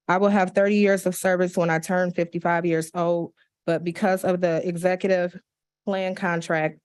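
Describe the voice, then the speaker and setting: A woman at a county commission meeting, reading public comment letters aloud in a steady, measured way with small slips and restarts. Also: fairly low pitch.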